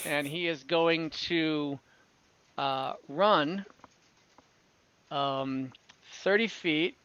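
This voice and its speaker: A male game master with a high voice and a bright, forward tone. He narrates an online tabletop game at a slow pace. He improvises as he goes, often hesitating and thinking aloud. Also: clear, resonant tone, no echo.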